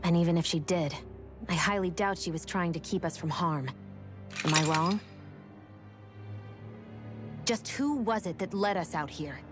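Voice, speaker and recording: A woman's voice: lowish voice, a little slow, long pauses, close to an everyday voice. An actress voicing a cool, suspicious young woman adventurer in a video game, speaking in a pointed, controlled and skeptical manner. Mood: challenging. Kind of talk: dramatic video game voice acting. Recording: game music under the dialogue.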